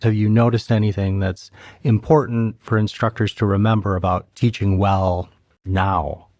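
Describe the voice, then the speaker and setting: Gravelly low-voiced man asking questions. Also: level tone, moderate pace, a little mellow, no room sound. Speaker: podcast host. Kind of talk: interview questions.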